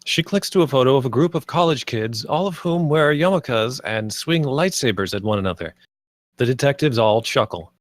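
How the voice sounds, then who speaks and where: A man's voice: mid-range voice, lively intonation, normal speed, dry recording, clean studio sound. A man reading stage directions as scripted narration at a screenplay table read.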